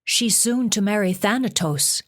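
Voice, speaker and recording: A woman's voice: mid-range voice, animated, a little slow, a bit bright, pitched above the performer's own voice. A woman voicing a cowed talking Doberman in a storybook, answering in a subdued, wary way.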